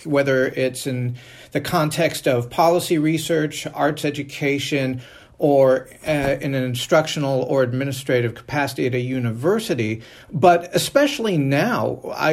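A man giving a thoughtful, measured panel answer, with hesitant and earnest phrasing. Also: medium pitch, gravelly, darkish tone. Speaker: university researcher and panelist.

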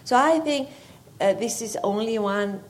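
A woman's voice: on the high side, unhurried, a little hiss or hum. A woman from Greece with a Greek accent, a university faculty member commenting in careful, hesitant phrases at a public discussion.

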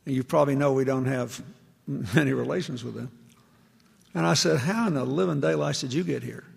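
A man's voice: mid-range voice, fairly quick, gravelly, slightly rough. A man giving a public lecture to university students, telling anecdotes in an earnest, conversational way. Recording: echoey large hall.

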